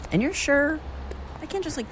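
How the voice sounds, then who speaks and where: A young American man playing a brash, excitable slacker college student in a naturalistic audio drama. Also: very high-pitched, moderate pace, over a noisy crowd. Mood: pleading, playful. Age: young adult.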